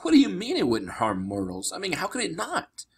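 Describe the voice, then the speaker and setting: A wry, casual adolescent boy hero from a storybook, acted by a man. He sounds unsure of himself, with a creaky voice and animated pitch. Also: fairly quick, exaggerated voice. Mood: wry, puzzled.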